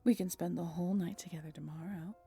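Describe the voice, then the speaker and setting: A woman playing a wry, guarded vampire in a roleplay audio drama, sardonic and smooth-talking in a low-key way. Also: fairly even intonation, fast talker, warm tone, no echo, soft background music. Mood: dry, placating. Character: wry, guarded female vampire, flattering her rival, secretly protective.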